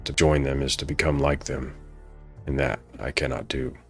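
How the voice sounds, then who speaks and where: A man voicing a grim, weary, honourable Norse warrior in a fantasy radio drama, speaking deliberately. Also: extremely low pitch, flat delivery, soft background music. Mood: grim conviction. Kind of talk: dialogue read within dramatic narration.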